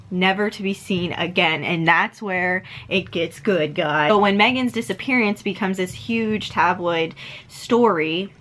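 A young woman in her twenties talks straight to the camera about a book she has read. She speaks casually and chats along, dropping "like" all through her sentences, and now and then glances at her notes. Her voice sits a little low for a woman. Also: fairly bright tone, a little hiss or hum. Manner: chatty, heavy use of "like", reads from notes. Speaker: book vlogger. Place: indoor room with dark backdrop.

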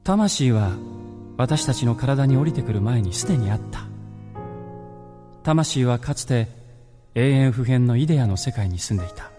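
Solemn ancient Greek male philosopher, acted, medium pitch, grave, impassioned, declamatory radio drama, music underneath. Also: animated, moderate pace, long pauses, natural voice. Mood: solemn, earnest.

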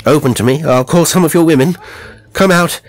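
An imperious young rake of a nobleman, played by a male storyteller in a gothic tale. He is hot-tempered and commanding, his voice pushed up high and forceful. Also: a little fast, faint music behind, close to an everyday voice. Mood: urgent.

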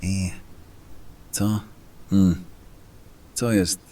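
Casting: male actor for surly, curt fantasy bruiser, low-voiced and edgy, set back from the mic. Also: fairly even intonation, extremely slow, mellow, recorded from a distance. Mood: groggy, annoyed. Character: irritable, nervous, threatening male fantasy tough guy. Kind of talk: fantasy audio drama acting.

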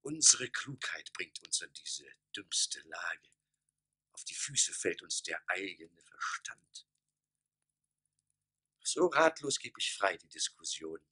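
Pompous small-town mayor, wildly swooping delivery. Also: male voice, low-pitched, very animated, takes it slowly, sharp tone, breathy edge, heavily acted. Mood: grave, worried. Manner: declamatory, fussy, punctuated with exclamations. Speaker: radio play actor. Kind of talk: theatrical German radio play acting. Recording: studio radio play, faint music behind.

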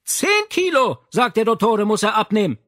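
A man's voice: sits high, very animated, moderate pace, fairly bright tone, no room sound, studio quality, pitched above the performer's own voice. A man voicing an exasperated, fretful Italian mother in an audiobook, indignant and plaintive. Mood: calling out. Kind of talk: audiobook character acting.

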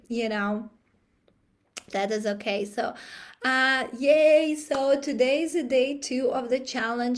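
Raspy-voiced woman chatting on a live stream. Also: a little high. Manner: chatty, laughs often, clears throat. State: raspy voice from a cold. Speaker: life coach. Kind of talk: casual live stream talk. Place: small home office indoors.

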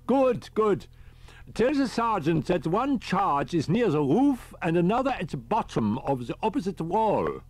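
A man voicing an excitable, precise male professor in an audiobook, speaking formally and deliberately. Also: high voice, dry recording, pitched above the performer's own voice, full character voice. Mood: businesslike.

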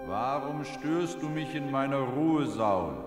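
A man's voice: medium pitch, animated, slow pace. A man playing a stern old prophet's ghost in a radio play, solemn and reproachful. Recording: echoing long reverb over loud music.

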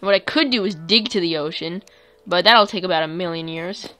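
Lowish bright gal narrating her game, chatty and excitable, a little music under it. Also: a little fast. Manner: chatty, excitable, talks to viewers. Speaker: gaming YouTuber. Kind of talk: let's play commentary.

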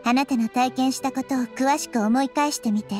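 A woman voicing a small, wise young girl deity in a game, speaking in a measured, gently reproving way. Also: high-pitched, fairly even intonation, soft background music, natural voice. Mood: calm, reproving. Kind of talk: scripted Japanese game voice acting.

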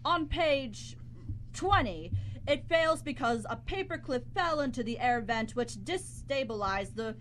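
A woman's voice: sits high, lively intonation, a little slow, fairly bright tone, a little hiss or hum. A young woman playing a bossy, smug writer in a live student radio comedy, with a theatrical, pompous delivery. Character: bossy, smug, scheming writer turning desperate. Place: college radio studio, live broadcast.

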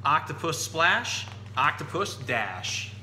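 A man's voice: on the high side, slow. A man in his thirties presenting to children as an enthusiastic, explanatory storytime host. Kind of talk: casual educational talk for children, picture-book reading. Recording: roomy echo, steady background hum.

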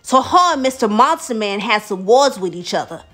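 A mischievous little girl played by a grown woman spins tall tales for a comedy skit. The voice sits fairly high, with theatrical, stretched-out delivery. It rings in a roomy space with faint music behind it. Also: big pitch swings, fairly bright tone. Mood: dramatic. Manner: theatrical, drawn-out storytelling. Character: mischievous little girl Coco, cookie-loving tall-tale teller. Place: small bedroom, indoors.

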